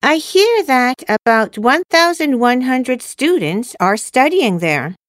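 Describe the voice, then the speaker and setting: Woman acting cheerful high-voiced student girl, slow. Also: very animated, no room sound, studio quality, full character voice. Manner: carefully enunciated, textbook-like. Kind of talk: scripted English listening-test dialogue.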